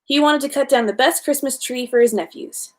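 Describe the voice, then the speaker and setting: A woman's voice: sits high, a little fast. A woman hosting a children's story time, reading a storybook aloud in an informal way with small stumbles.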